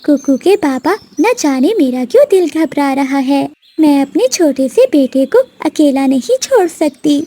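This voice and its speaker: A woman voicing a gentle, anxious mother sparrow in a cartoon, tender and motherly. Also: sits high, animated, unhurried, hardly any pauses. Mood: worried.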